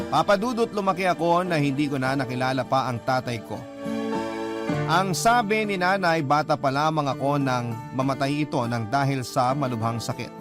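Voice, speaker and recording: A man with a Filipino accent narrating an emotional story in the style of a classic radio program. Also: medium pitch. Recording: prominent music behind the voice.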